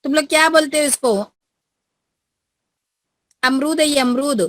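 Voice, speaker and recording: Teacher coaxing pupils on a video call. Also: female voice, high-pitched, fairly even intonation, unhurried, slight background noise. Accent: Indian. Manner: coaxing, repeats prompts to pupils. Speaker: school teacher. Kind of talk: online class teaching.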